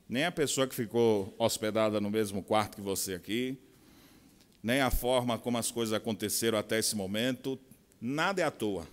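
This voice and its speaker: A middle-aged man with a Bahian Portuguese accent, preaching a sermon with expressive swings from quiet asides to loud, slow emphasis. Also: mid-range voice. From Brazil. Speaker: pastor. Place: large conference hall. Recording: long hall reverb.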